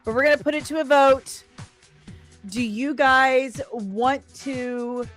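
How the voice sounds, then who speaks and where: Woman, high voice, slow easy pace, sing-song playful delivery, livestream host, casual. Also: steady tone, fairly bright tone. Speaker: live stream host reviewing comics and screen news. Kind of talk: casual livestream chat, reading out viewer comments. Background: faint background music.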